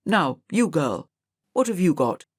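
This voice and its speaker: A woman's voice: on the low side, very animated, unhurried, husky, dry recording, heavily acted. A stern older schoolmaster, voiced by a woman narrator, bullying and proud. He speaks in haughty, clipped demands as a storybook villain would, recorded dry. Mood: stern, scolding. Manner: barking, haughty interrogation.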